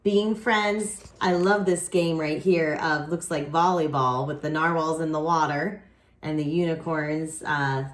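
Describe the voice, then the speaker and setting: A woman reading a picture book aloud expressively, with dramatic pauses and casual asides to young listeners. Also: low-pitched, fairly even intonation. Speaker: children's picture book reader.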